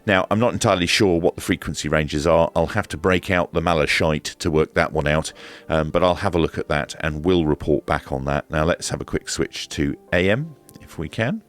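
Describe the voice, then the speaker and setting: Very deep-voiced man reviewing gear. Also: fairly quick. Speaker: presenter of a radio equipment review. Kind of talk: hands-on demonstration and review commentary.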